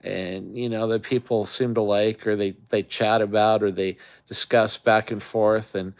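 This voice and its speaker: A man's voice: on the low side, flat delivery, measured pace, clear, resonant tone, no room sound. A middle-aged man from the Great Lakes region hosting a camping channel, chatting casually on a live stream in a rambling way with lots of fillers.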